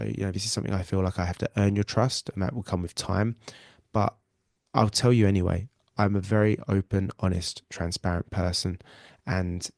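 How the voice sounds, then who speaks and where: A man's voice: low-pitched, vocal fry, a little mellow, dry recording, clean studio sound. A man with a nasal cold, hosting a live weight loss webinar in an earnest, reassuring way and often repeating himself. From England. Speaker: weight loss coach and podcast host.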